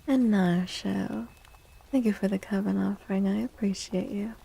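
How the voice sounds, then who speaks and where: A soft-spoken woman live streaming ASMR chat on a close microphone, gently greeting viewers, often repeating phrases and slipping into breathy whispers. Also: medium pitch, fairly even intonation, normal speed, rough recording.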